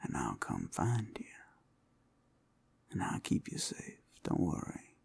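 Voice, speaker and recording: Guy doing a flirty possessive werewolf asmr thing, super slow, breathy n gravelly, playful predator. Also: deep voice, wildly swooping pitch, darkish tone, exaggerated voice. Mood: teasing, excited. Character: teasing, possessive male werewolf hunting his sweetheart. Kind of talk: ASMR roleplay.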